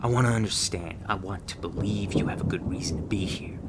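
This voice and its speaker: A man playing a gruff, sarcastic, guarded monster hunter mentor in an ASMR audio roleplay. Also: sits low, a little fast, heavy background noise, close to an everyday voice. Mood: softening, earnest. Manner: foul-mouthed, sardonic, scornful.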